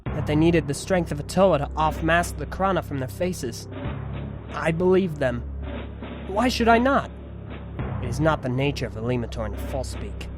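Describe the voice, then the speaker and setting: A man's voice: a little high, lively intonation, moderate pace, natural voice. A man playing a troubled, earnest hero of the air in an amateur audiobook drama, speaking hesitantly. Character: somber, shaken young-sounding heroic warrior of the air. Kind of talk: amateur full-cast audiobook drama acting. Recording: loud music bed under the voice.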